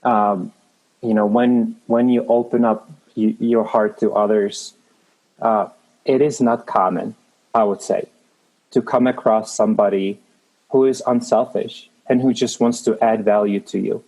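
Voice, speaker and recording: A man's voice: fairly low pitch, fairly even intonation, a little slow, a little mellow, low-quality recording. A man in his thirties from the Czech Republic with a Czech accent, a guest in an interview speaking in an earnest, encouraging way with frequent restarts. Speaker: guest, creative manager. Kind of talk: motivational interview talk.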